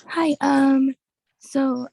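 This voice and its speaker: A woman's voice: high voice, steady tone, very slow, creaky, dark tone, low-quality recording. A woman student with a cold, asking a question hesitantly and apologetically. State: has a cold.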